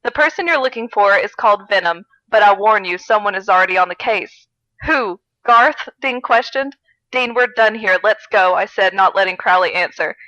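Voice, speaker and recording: Bright sorta high lady on a podcast, giggly n wry, reading stuff out for laughs, dry room. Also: normal speed, no room sound, dull audio. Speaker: podcast co-host. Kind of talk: comedic read-aloud of fan fiction with casual chat.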